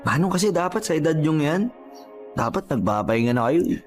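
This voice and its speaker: A man's voice: on the high side, sing-song, fairly quick, soft background music. Friendly Filipino male customer. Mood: sympathetic. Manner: chatty, respectful. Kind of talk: Tagalog radio drama acting.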